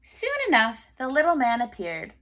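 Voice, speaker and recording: Woman, teacher, higher pitch, lively intonation, children's read-aloud, chatty questioning asides. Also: moderate pace. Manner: expressive, asks listeners questions.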